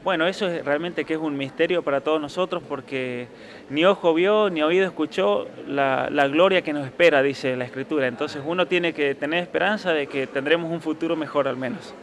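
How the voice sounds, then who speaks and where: Young male, Argentine accent blurred by years abroad, slightly high voice, very quick delivery, earnest interviewee. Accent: Argentine, softened by years abroad. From San Juan, Argentina. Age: young adult. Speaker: author being interviewed. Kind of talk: interview answers.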